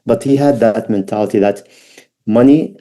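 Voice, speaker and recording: Mellow middle-aged man with an Iraqi accent. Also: on the low side. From Baghdad, Iraq. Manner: halting, reflective, non-native English phrasing. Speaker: podcast guest. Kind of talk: personal storytelling interview.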